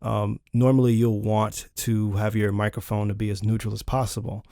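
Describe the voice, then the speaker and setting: A man's voice: on the low side, little pitch movement, normal speed, dark tone, dry recording. A man giving a casual, chatty product review, talking informally to viewers on a close studio condenser microphone in a home studio. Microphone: close large-diaphragm studio condenser microphone.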